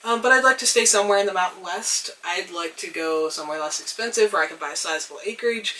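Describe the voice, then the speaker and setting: A young woman getting over a cold, her voice a little hoarse, talking thoughtfully to the camera with frequent pauses and ums. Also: on the low side, pushed and bright, recorded from a distance, rough recording. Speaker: vlogger. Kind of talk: reflective casual talk to camera. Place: tiny house.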